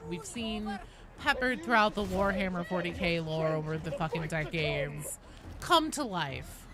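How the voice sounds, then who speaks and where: A woman game streamer chatting casually and conversationally over gameplay, with some swearing. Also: on the low side. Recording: noisy game audio behind the voice.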